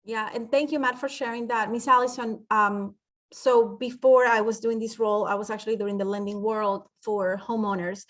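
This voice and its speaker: Woman, middle-aged; friendly banking presenter, chatty, slightly high voice. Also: fairly even intonation, moderate pace. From Ecuador. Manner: chatty, laughs while telling stories. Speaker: bank presenter. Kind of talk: informal webinar presentation.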